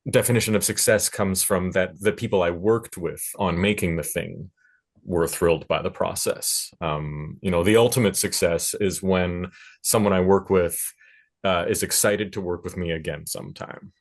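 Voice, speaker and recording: Male panelist, low-pitched, reflective and halting, talking through his thoughts as a music producer. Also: fairly even intonation. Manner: halting, thinking aloud, hedging with "sort of". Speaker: panelist, record producer and recording engineer. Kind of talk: panel discussion talk, reflective.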